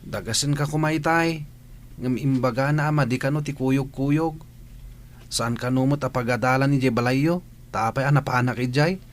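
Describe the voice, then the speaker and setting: A man's voice: mid-range voice, moderate pace, lightly acted. A man playing a teasing young student and schoolmate in a radio drama, with a Filipino accent. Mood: chatty.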